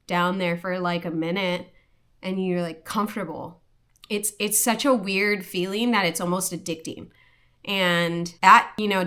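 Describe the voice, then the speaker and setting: Young woman from Hawaii, earnest casual vlogger, bright forceful tone, slightly low. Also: clean tone. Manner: earnest, lots of "like" and restarts. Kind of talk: casual personal talk to camera.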